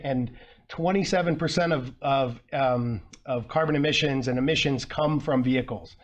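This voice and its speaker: A man presents at a conference with an earnest delivery, often restarting a phrase or bridging points with fillers. His voice sits a little higher than most men's. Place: conference room.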